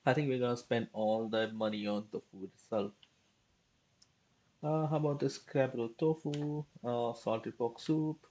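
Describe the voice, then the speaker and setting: Casual woman streamer, very low voice, relaxed commentary. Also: a little slow. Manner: easygoing, reads choices aloud. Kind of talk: casual commentary while playing. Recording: game music loud under voice.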